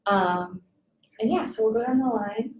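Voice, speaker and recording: Young woman hosting, slightly high voice. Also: measured pace. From Fargo, North Dakota. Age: young adult. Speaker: panel host, admissions ambassador. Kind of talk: hosting a student panel. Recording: echoey room.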